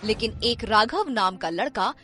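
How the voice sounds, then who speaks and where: A woman's voice: fairly high pitch, expressive, measured pace, bright, forceful tone. A woman narrating a scripted, dramatic horror story. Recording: music behind the voice. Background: background music.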